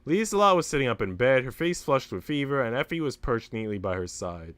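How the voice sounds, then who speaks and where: A man's voice: medium pitch, big pitch swings, a little fast, a bit bright, no room sound. A man livestreaming a story game, switching between reading narration aloud and slangy, sweary casual chat. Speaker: streamer reading a visual novel aloud. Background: music.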